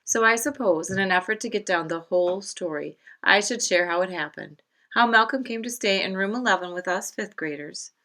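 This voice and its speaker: A woman's voice: medium pitch, brisk, pushed and bright. A woman voicing an earnest fifth-grade student confiding in a teacher in a storybook, chatty in manner.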